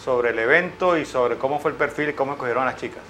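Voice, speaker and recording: A man in his forties with a Venezuelan Spanish accent, hosting a live morning TV show from a studio on a lapel mic, jokey and full of quick questions. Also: medium pitch, fairly bright tone, slight background noise. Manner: jokey, scattered, asks many quick questions. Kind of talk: live TV interview.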